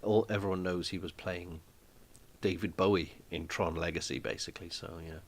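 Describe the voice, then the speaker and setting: Man, low-pitched, rambling live-stream chat, frequent ums and restarts, home setting. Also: steady tone, brisk. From Wales. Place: small flat at home.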